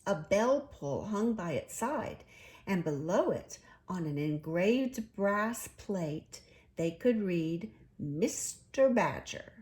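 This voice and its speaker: A woman narrating a children's storybook in an expressive, deliberate storytelling manner. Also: fairly low pitch. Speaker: storybook reader.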